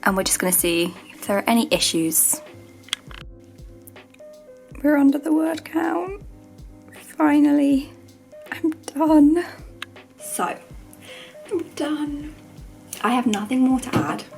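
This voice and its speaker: Croaky young woman chatting casually. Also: high-pitched, lively intonation, slow pace, long pauses, a little mellow, soft background music. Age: young adult. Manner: informal, self-deprecating, rambling. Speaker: student vlogger. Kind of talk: casual chat to camera.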